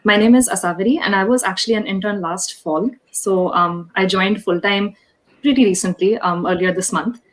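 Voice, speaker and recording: A young woman introducing herself on a live stream, warm and upbeat. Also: medium pitch, normal speed. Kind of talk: casual self-introduction.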